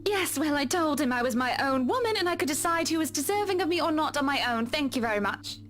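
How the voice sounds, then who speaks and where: A woman's voice: sits high, lively intonation, quick pace, a bit bright. An actress voicing a poised, genteel wealthy woman in a visual novel, speaking in a refined, prim way. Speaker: game character. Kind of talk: voiced visual novel dialogue.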